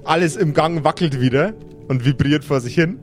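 Higher-voiced man narrating a tabletop game. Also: moderate pace, faint music behind. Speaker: game master.

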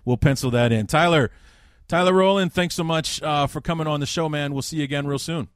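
A man hosting a football podcast, with a baritone voice and enthusiastic, joking banter in casual chat. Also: brisk, clear, resonant tone, dry recording, clean studio sound. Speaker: podcast host.

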